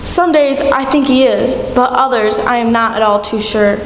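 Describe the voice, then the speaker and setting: A warm, fussing mother of a poor family acted by a woman in a stage radio drama. The voice is high and carries through an echoing hall with clear background noise. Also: normal speed, natural voice. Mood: worried. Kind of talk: live radio play acting.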